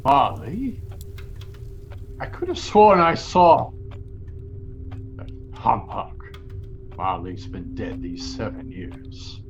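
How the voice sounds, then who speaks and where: An actor playing a grumpy, miserly old employer in an audio play, with a grudging, curmudgeonly manner. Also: male voice, medium pitch, huge pitch swings, slow pace, pauses often, highs cut off, background noise, full character voice. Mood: disbelieving.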